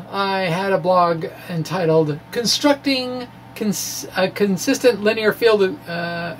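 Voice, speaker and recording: A man giving an informal, halting, wry reading of his physics blog, often pausing and amused at his own asides. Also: high voice. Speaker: amateur physics blogger. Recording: noisy room recording.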